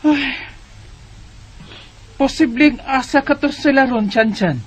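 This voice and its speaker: A woman's voice: sits high, slow, slightly rough, full character voice. A woman playing an older mother who fusses over her son and keeps asking questions, in a radio drama. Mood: concerned. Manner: inquisitive, fussing. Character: worried mother of a man searching for his family. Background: music.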